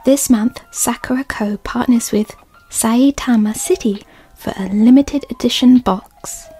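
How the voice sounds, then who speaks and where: A woman reading a sponsor segment in a soft, partly whispered ASMR style. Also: fairly high pitch, measured pace, husky, faint music behind. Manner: gentle, partly whispered, with soft tasting sounds. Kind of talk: soft-spoken ASMR ad read.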